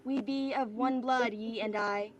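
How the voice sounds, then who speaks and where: A woman playing a godlike bound artificial being in a play reading, speaking in a formal, measured, detached way. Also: a little high, fairly even intonation, crowd noise, lightly acted. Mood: probing. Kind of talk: virtual staged play reading.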